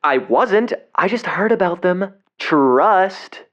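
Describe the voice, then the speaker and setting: A man's voice: high voice, lively intonation, slow pace. Cocky teenage boy, muffled sound. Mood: evasive, casual. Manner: casual, slangy, bristles when challenged. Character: cocky, defensive seventeen-year-old male amateur explorer. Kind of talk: audio drama phone dialogue.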